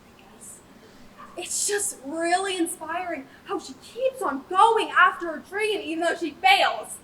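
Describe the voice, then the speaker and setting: Teenage girl acting as a flustered, very high-voiced stage director. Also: bright, forceful tone, heavily acted. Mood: sarcastic. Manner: sarcastic, exasperated. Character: flustered, exasperated young stage director introducing a disastrous school play. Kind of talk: comedic stage monologue to an audience. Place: theatre stage at a competition. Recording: distant stage microphone, faint noise.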